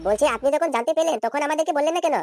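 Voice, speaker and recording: A man's voice: extremely high pitch, racing. A man voicing an exasperated, insistent husband in a comedy cartoon. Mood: questioning. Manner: insistent, questioning, reproachful. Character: exasperated, nagging cartoon husband questioning his wife.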